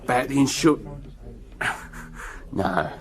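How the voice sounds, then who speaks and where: Slurring, belligerent drunk villager. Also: male voice, on the low side, lively intonation, drawn-out words. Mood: belligerent, taunting. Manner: slurred, rambling, defiant. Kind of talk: radio drama acting.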